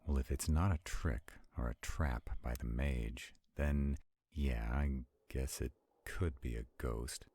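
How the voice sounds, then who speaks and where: An arrogant male vampire acted by a man speaks in an extremely low, husky voice. He takes his time over every phrase, haughty and commanding, protective yet skeptical, as in a supernatural audio roleplay. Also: slow, warm tone, no echo, heavily acted. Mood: tense, reluctant. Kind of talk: roleplay audio drama acting.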